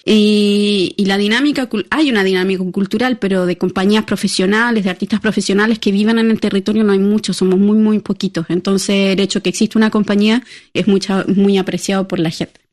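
A woman's voice: medium pitch, fairly even intonation, fairly quick, darkish tone. Chilean woman chatting as an interview guest. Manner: conversational, hesitant with fillers and restarts, laughs often. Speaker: playwright and theatre director, guest. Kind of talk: radio interview.

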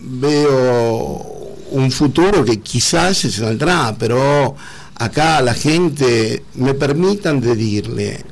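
Halting middle-aged Argentine man. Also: medium pitch, lively intonation, creaky. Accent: Argentine Spanish. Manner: halting, repeats words, earnest. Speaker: interview guest. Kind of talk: emotional conversational interview.

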